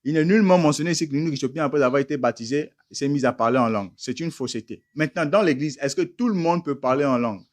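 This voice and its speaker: A man's voice: on the high side, fast talker, hardly any pauses. A man preaching a teaching sermon, emphatic and firing rhetorical questions at listeners he calls beloved brothers.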